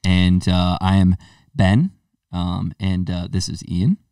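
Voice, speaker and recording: A man's voice: sits low, level tone, takes it slowly, warm tone, clear, resonant tone, no echo, clean studio sound, recorded from a distance. A young man co-hosting a casual podcast, joking and putting himself down, laughing often. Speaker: podcast co-host. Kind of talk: casual chat.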